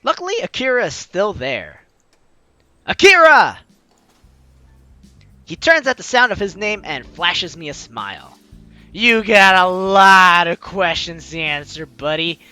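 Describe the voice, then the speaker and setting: A man reads a story game aloud and jokes between lines. His voice is high and very lively in pitch. Faint music plays under him. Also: a little slow, pauses often, clean tone, dry recording. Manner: animated, joking asides. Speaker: game player reading dialogue aloud. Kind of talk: let's play narration.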